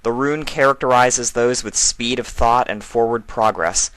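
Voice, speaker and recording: An eager, curious little filly from a storybook, acted out by a man, speaks in an earnest, excitable way. The pitch is lifted well up and the tone is bright, recorded dry and close. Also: unhurried, no echo, lightly acted, poor audio. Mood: eager, explaining. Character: eager, curious young female pony foal.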